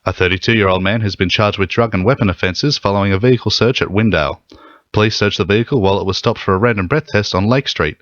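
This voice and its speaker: A man's voice: fairly low pitch, fast, dry recording, dull audio. A man with an Australian accent reading scripted radio news.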